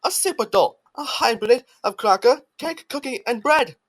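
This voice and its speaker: A woman's voice: fairly high pitch, expressive, normal speed. A woman voicing a melodramatic, rambling male pastry chef in a cartoon fandub. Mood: dramatic.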